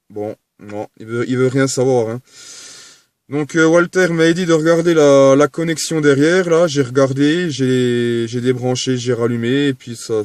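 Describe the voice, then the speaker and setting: Clear-voiced Frenchman chatting on CB radio. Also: medium pitch, a little mellow, no room sound. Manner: halting, repetitive, ends phrases with tags. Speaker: CB radio operator. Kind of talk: casual radio chat with signal reports.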